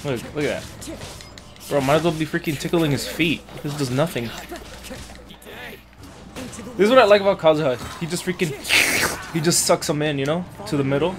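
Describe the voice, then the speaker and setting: A man's voice: fairly high pitch, a little fast, pauses often, music in the background. A man doing casual, joking gameplay commentary with plenty of slang and swearing, as a streamer. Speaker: gaming streamer.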